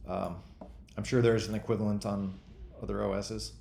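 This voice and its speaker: A man in his forties chatting casually as a host, rambling and thinking out loud in a self-deprecating way. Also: lowish voice, flat delivery, moderate pace, gravelly, echoey room. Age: 40s. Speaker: host and game developer answering chat questions. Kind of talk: casual freeform chat.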